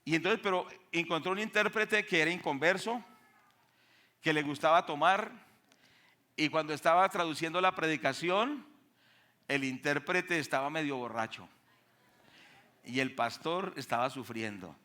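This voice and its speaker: Bright-voiced Colombian man preaching. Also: on the high side, moderate pace, pauses often. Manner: emphatic, rhetorical questions to the congregation. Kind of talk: sermon with Bible reading and testimonies. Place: speaking to a church congregation.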